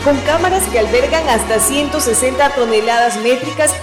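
A woman's voice: high voice, normal speed. A woman reading a scripted commercial in a polished, promotional way. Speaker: commercial announcer. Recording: reverberant with music bed.

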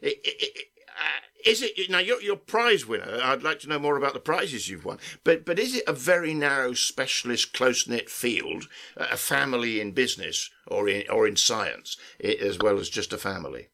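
Male interview host, bright gravelly voice, lively pitch, stop-start delivery. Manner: halting, many restarts and stammers.

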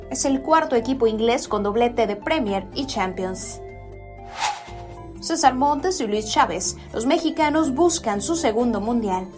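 Sports headlines: woman, crisp announcing read over clear background music. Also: fairly high pitch.

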